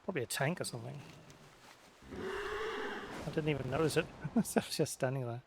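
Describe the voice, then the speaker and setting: A man's voice: on the high side, wildly swooping pitch, normal speed, vocal fry, background noise. A man giving casual, understated Let's Play commentary in offhand muttered remarks. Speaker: gaming video creator. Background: game music.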